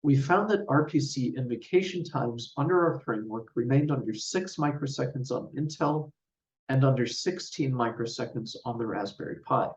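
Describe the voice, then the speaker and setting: A man giving a scripted technical conference presentation in a measured, formal, explanatory way. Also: medium pitch. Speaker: researcher presenting a paper. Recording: roomy, distant mic, dull sound.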